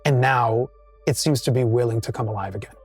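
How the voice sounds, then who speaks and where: Man, game master, slow descriptive narration, faint music behind. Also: medium pitch, breathy edge.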